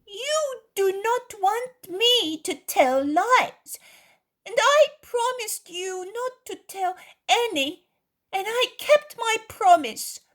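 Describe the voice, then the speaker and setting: A woman's voice: extremely high pitch, lively intonation, a bit bright, pitched above the performer's own voice, exaggerated voice. A woman voicing an outspoken, stubbornly honest little boy in a story, earnest and emphatic. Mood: earnest, defiant. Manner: slow, earnest, emphatic childish delivery.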